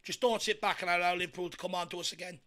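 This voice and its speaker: An Irish man hosting a fan watchalong, giving excitable partisan live commentary on a football match with a croaky, worn voice. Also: sits high, racing. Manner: excitable partisan, shouts encouragement at players. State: voice going croaky from hours of talking.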